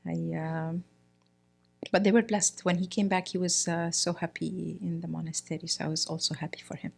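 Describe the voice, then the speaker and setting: A middle-aged woman from Egypt, a guest speaking in a casual interview, often pausing with fillers and restarting her sentences. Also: lowish voice, little pitch movement, creaky, a little mellow. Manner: hesitant, many fillers and restarts. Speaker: guest, priest's wife.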